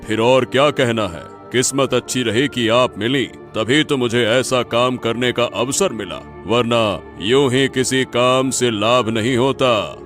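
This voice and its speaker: A man voicing a greedy, boastful holy man with dark powers in an animated moral story, self-important and menacing. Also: medium pitch, takes it slowly, few pauses, soft background music.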